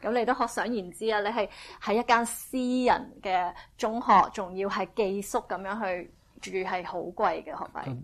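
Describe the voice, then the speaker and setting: A woman interpreting a sermon phrase by phrase, speaking in a brisk, fluent and eager way. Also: mid-range voice.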